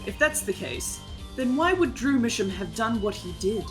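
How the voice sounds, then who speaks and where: Woman acting a high-voiced, smug theatrical prosecutor, music behind. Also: lively intonation, normal speed, natural voice. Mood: arguing. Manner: pompous, mocking, dramatic. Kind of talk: live amateur fan dub of a courtroom game.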